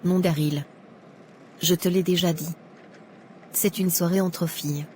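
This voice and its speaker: A woman playing a gentle young fiancée. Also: fairly low pitch, fairly even intonation, unhurried, slight background noise, natural voice.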